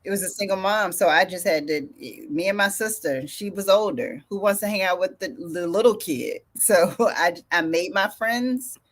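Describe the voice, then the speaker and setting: A woman co-hosting a casual podcast, telling relaxed stories and laughing between them. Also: mid-range voice, normal speed, hardly any pauses.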